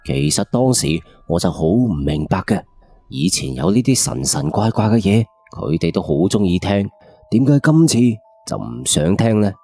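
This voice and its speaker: Low, mellow Cantonese male storyteller. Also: lively intonation, normal speed, creaky, husky, no room sound, soft background music. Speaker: ghost story narrator, radio host. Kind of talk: late-night first-person ghost story narration.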